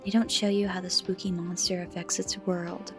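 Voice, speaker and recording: A woman giving scripted video-essay commentary with dramatic emphasis and hushed, breathy phrase endings. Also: fairly low pitch, little pitch movement, normal speed. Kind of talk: scripted commentary on a horror series. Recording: music behind the voice.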